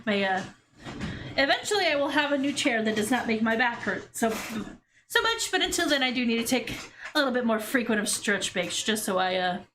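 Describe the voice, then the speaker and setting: A woman's voice: on the high side, big pitch swings, brisk, fairly bright tone, slight background noise. A woman streamer chatting casually and reading a visual novel aloud. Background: music.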